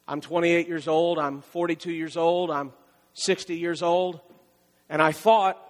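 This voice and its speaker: High-voiced male pastor in a reverberant hall. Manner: conversational preaching with repeated phrases and emphatic rises. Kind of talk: sermon.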